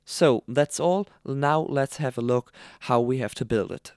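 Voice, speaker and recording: A man with a German accent, a native German speaker, presenting a how-to narration with halting, hesitant non-native phrasing. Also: mid-range voice, a little mellow, smooth, no echo, clean studio sound. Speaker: tutorial presenter. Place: voice-over, dry close recording.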